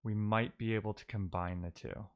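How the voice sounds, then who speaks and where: A man's voice: on the low side, fairly even intonation, moderate pace, no room sound. Man, clean resonant tone, dry close sound, casual technical talk, musing, self-questioning. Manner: musing, explanatory, poses questions to himself. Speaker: live-coding streamer.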